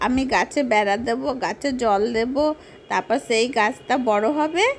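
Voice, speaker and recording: A woman voicing an excited, greedy little child doll in a children's story, gleeful and singsong, repeating phrases. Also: a little high, normal speed, slight background noise, close to an everyday voice. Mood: eager, happy.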